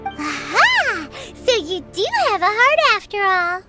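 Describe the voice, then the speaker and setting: A woman voicing a tiny, cheeky, excitable childlike fairy companion in a squeaky voice for a video game. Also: slow, heavily acted. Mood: cheeky, scolding. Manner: childlike, refers to herself by name. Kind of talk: English game dub acting. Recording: background music under the voice.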